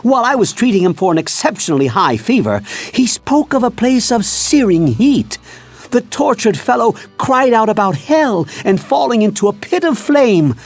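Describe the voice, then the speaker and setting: Learned healer in a fantasy game, earnest storyteller, lots of pitch movement, faint music. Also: male voice, very animated, normal speed, heavily acted. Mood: sober recounting. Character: learned, compassionate village healer.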